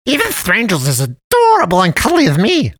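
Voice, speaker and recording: A man's voice: sits high, huge pitch swings, a little fast, fairly bright tone, no echo, studio quality, pitched above the performer's own voice, heavily acted. A man voicing a jolly, chubby male cat man who lives in a dump, talking in a purring, folksy drawl. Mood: friendly, jolly. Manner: sweetly cajoling, theatrical.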